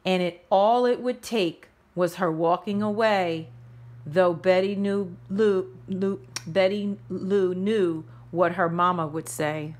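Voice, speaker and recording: A woman reading a rhyming children's story aloud in a measured, expressive storytelling manner. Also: on the low side. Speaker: storybook reader. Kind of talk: children's read-aloud narration in rhyme.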